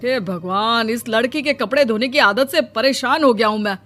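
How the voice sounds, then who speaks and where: A woman's voice: fairly high pitch, moderate pace, a bit bright, faint music behind, natural voice. A woman voicing a worried middle-aged father who grumbles in exasperation. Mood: fed up.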